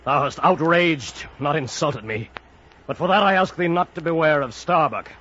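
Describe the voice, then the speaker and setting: A dutiful whaling first mate, played by a man, speaks firm, formal archaic lines in a forceful, slightly high voice. It is vintage radio drama, dull-sounding with faint noise. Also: moderate pace, natural voice. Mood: grave warning. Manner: respectful but firm, formal archaic speech. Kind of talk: theatrical old-time radio drama acting.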